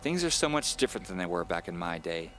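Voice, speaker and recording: A man's voice: low voice, big pitch swings, fast, bright, forceful tone, faint music behind, exaggerated voice. A man voicing a cheerful, chatty, nosy woman of about seventy in an audio drama, with a folksy, fussing manner. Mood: fretful.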